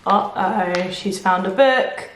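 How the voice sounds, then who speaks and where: A woman reading a picture book aloud to children, expressive, with explanations and questions to the listener. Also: medium pitch, slow pace, a little hiss or hum. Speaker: children's storyteller. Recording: echoey room.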